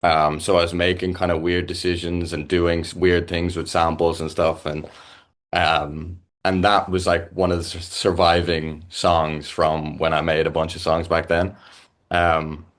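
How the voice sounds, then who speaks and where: A man's voice: low-pitched, little pitch movement, a bit bright. A young Irish man, a musician guest, chatting casually in an interview with lots of fillers and restarts. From Ireland. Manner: halting, many fillers and restarts.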